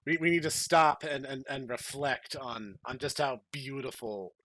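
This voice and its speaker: A man's voice: mid-range voice, unhurried, a bit bright, dry recording. A man co-hosting a podcast, chatting casually and jokingly. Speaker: podcast co-host.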